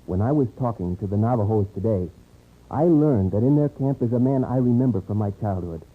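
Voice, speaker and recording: Earnest, husky young frontiersman. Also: lowish voice, expressive. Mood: earnest, determined. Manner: formal, measured, few contractions. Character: earnest, loyal, level-headed young frontier man. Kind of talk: 1950s radio western acting. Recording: tinny old radio recording.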